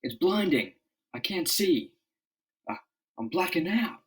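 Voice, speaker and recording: A man's voice: high voice, big pitch swings, takes it slowly, pitched above the performer's own voice, exaggerated voice. A man voicing an earnest, commanding superhero leader in a declamatory comic-book drama style. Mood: bewildered.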